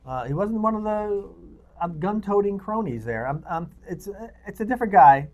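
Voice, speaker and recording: A man's voice: high voice, expressive, normal speed, a little mellow. A man co-hosting a movie show in a casual, rambling way, sometimes muttering asides as he goes. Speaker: co-host of a movie review show. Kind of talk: casual unscripted movie chat, reading synopses aloud.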